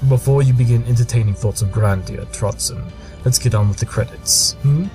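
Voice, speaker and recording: An actor playing an aloof pony detective, reading audio drama credits in a dry, formal, dismissive manner. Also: male voice, mid-range voice, a little fast, a little mellow, soft background music, lightly acted. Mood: dryly dismissive.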